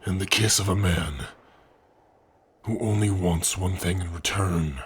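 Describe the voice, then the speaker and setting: A man voicing a big, gentle orc who is a devoted lover, speaking tenderly and earnestly in an intimate ASMR romance roleplay, with a husky voice. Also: sits low, exaggerated voice. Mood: loving, earnest. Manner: tender, earnest, slow and breathy with sighs.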